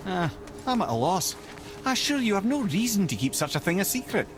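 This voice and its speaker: An actor voicing a wry, worldly-wise storyteller companion in a video game, with a Scottish accent and an eloquent, sardonic manner. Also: male voice, high voice, sing-song, fairly quick, noisy background. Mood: defensive. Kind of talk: dramatic video game acting.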